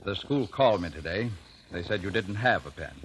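A man's voice: low voice, lively intonation, a little fast. An actor playing a proud, stern middle-aged American father, formal and authoritative, in 1950s radio drama style. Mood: curt. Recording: noisy vintage radio recording.

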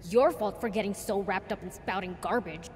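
A woman's voice: mid-range voice, normal speed, darkish tone, slight background noise, natural voice. A woman voicing a small, cocky, hot-tempered boy demon prince in a video game, brash and taunting. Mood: cocky, combative. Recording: long reverb, faint music.

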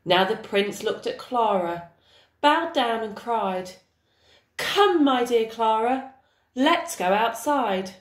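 A woman's voice: fairly high pitch, lively intonation, slow. A woman reading a children's storybook aloud, recorded in an echoey room.

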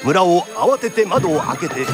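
Man, kodan-style narrator, theatrical, highish voice, lively intonation, background music. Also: measured pace. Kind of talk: traditional kodan storytelling narration for radio drama.

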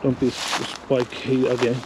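A man with a Finnish accent, a Finnish native speaker narrating his exploration vlog outdoors, halting as he searches for English words. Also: medium pitch, completely flat delivery. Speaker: urban explorer. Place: outdoors at an abandoned mansion. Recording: loud wind noise on the mic.

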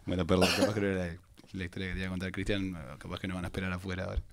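A man's voice: sits low, completely flat delivery, very fast. A Uruguayan man, rambling through a self-deprecating anecdote at a cinema press conference, speaking into a stand mic. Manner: rambling, self-deprecating storyteller with many restarts. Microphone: handheld mic on a stand.

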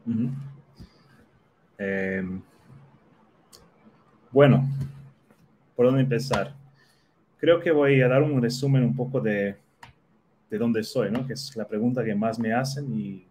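A man's voice: medium pitch, takes it slowly, pauses often, mellow, slight background noise. A man in his thirties, born in the Netherlands and a native Portuguese speaker, answering interview questions thoughtfully with many fillers. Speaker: guest. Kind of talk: casual video-call interview. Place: small home office.

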